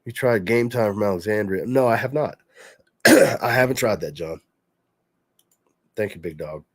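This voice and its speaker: A man's voice: fairly low pitch, a little slow, breathy edge, no room sound, studio quality. A man who is congested and stuffy, casually answering chat questions as a fragrance reviewer on a livestream. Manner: relaxed, reads chat questions aloud and answers conversationally.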